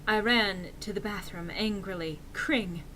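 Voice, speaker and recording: A woman's voice: medium pitch, measured pace, a little hiss or hum. A woman giving an exaggerated dramatic reading of fan fiction in a theatrical manner. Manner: theatrical, swinging into shouts for quotes.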